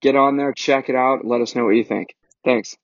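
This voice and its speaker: A man delivering a casual, energetic promotional announcement for his show. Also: mid-range voice, moderate pace, no room sound, muffled recording.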